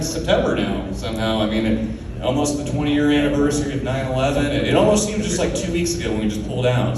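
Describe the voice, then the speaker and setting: A young man from Oklahoma works a stand-up set in a club, talking in an easy, rambling way with lots of filler words. His voice sits at an ordinary male pitch. It comes from some way off the mic and bounces around a very reverberant room, with a clear hum of club noise behind it. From Oklahoma, USA. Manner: laid-back, filler-heavy delivery with lots of "man" and "uh". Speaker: stand-up comedian. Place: comedy club stage. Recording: very echoey club room from far off. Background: audience laughter.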